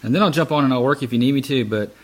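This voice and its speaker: Fast-talking male podcast host. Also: mid-range voice. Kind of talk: casual interview chat.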